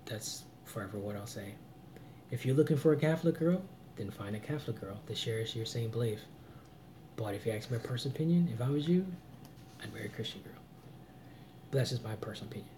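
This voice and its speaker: A man in his late thirties giving earnest, preachy relationship advice on a live vlog, swinging between loud emphasis and low murmurs. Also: a little hiss or hum. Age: 30s. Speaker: vlogger. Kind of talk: live-stream advice chat.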